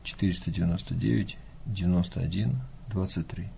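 Low gravelly priest, unhurried folksy talk. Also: male voice, warm tone, slight background noise. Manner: unhurried, folksy, many fillers and hesitations. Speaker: Orthodox priest. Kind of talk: calm talk show conversation.

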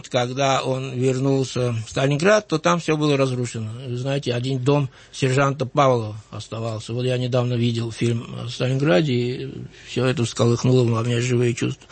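A man in his sixties from Georgia with a light Georgian accent, a writer recalling his life in an interview, measured and thoughtful with frequent hesitant fillers. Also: mid-range voice, no room sound. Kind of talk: reflective interview recollection.

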